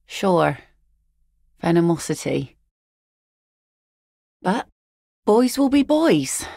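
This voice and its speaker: A woman's voice: lowish voice, gravelly, darkish tone, no room sound, full character voice. An actress voicing a blunt, weathered fisherwoman who picks nets, speaking game voice lines in a dry, sardonic way. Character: tough weathered fisherwoman net picker, blunt. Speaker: game character.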